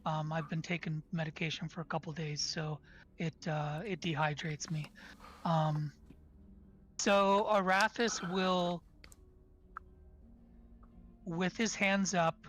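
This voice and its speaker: Hoarse, halting tabletop player. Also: medium pitch, steady tone, a bit bright. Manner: halting, many fillers. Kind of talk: playing a tabletop game.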